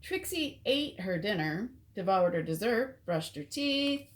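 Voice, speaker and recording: A woman reading a picture book aloud to children as an expressive storyteller. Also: mid-range voice, measured pace.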